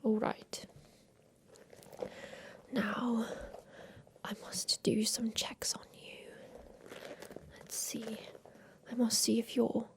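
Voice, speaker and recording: A woman playing a tender, ailing inventor of a mechanical creature in a soft, whispered ASMR roleplay. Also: a little high, flat delivery. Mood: gently fussing. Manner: slow, gentle, soothing, with pauses.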